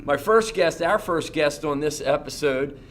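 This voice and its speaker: A man's voice: on the high side, animated, measured pace, slight background noise. A man hosting a podcast, upbeat and encouraging, welcoming listeners and leading an interview with short affirming replies. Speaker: podcast host.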